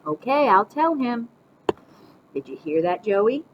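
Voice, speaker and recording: A woman reading a storybook puts on a bossy old grandmother, pitched up high and theatrically sweet. She teases and orders a child about, with clear noise behind the voice. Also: big pitch swings, slow, muffled recording. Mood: falsely sweet. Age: older. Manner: commanding, snapping, falsely sweet. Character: domineering, teasing grandmother tricking a small boy. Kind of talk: storybook read-aloud.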